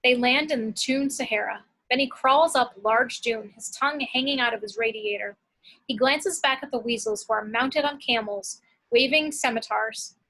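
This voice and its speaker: A woman's voice: on the high side, moderate pace, fairly bright tone, low-quality recording. A woman narrating action descriptions from a script at an amateur table read.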